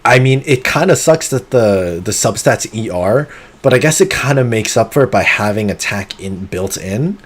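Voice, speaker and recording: A man streaming a game live, talking through it in an excitable, competitive way, with sudden gasps and pleading. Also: lowish voice, normal speed, slightly rough. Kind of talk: live gameplay commentary.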